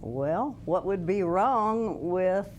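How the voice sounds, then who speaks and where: A woman's voice: lowish voice, animated, drawn-out words, darkish tone, lo-fi sound, a little hiss or hum. A woman with an American accent giving formal interview answers as a state official. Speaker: state auditor being interviewed.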